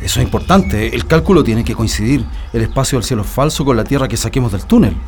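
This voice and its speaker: Male actor as Chilean prisoner, calculating planner, earnest, measured, medium pitch, radio play, background noise. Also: expressive, darkish tone, close to an everyday voice. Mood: concerned, practical. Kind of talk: radio drama dialogue.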